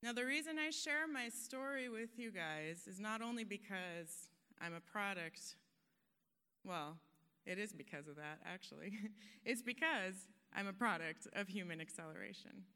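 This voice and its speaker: Woman, conference speaker, motivational, earnest, crisp smooth voice, long hall reverb. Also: medium pitch, lively intonation, moderate pace, bright, forceful tone. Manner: earnest, emphatic, laughs at herself. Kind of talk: motivational stage talk.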